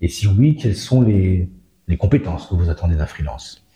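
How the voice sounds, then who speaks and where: A man's voice: sits low, lively intonation, normal speed, mellow. A man hosting a school podcast, asking long questions padded with fillers. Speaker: podcast host for a spa school. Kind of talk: casual podcast interview.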